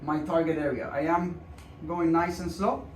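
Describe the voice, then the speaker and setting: A man's voice: a little high, steady tone, moderate pace. A man working as a doctor, casually and reassuringly talking a patient and viewers through a procedure in an informal way. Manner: informal, reassuring, repeats himself while demonstrating. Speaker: doctor performing a hand procedure. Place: indoor clinic room. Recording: roomy, distant mic with background noise.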